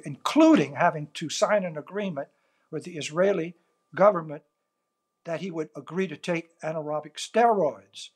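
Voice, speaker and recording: An older man from South Louisiana speaks with a Cajun accent, his first language being Cajun French. His voice sits a bit high for a man and carries a husky edge. He answers questions in an earnest, reflective way, pausing between his thoughts. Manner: earnest storytelling, measured pauses. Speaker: author and interview guest.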